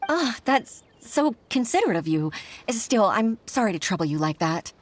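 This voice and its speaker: An actress playing an earnest, flustered young junior clerk in a video game, hesitant and deferential in manner. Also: female voice, mid-range voice, sing-song, moderate pace, slight background noise, close to an everyday voice. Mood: apologetic, grateful.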